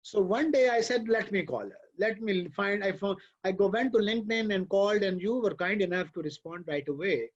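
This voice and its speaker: A man hosting an online lecture session, talking in a halting way with many fillers and restarts over a video call. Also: high voice, dull audio. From Pakistan. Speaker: host and professor.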